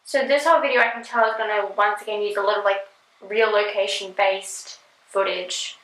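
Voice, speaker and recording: A woman hosting a casual reaction video, chatting and giggling, often filling pauses with "like". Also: mid-range voice, fairly even intonation, fairly bright tone, roomy sound, rough recording. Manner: chatty, giggly, filler-heavy.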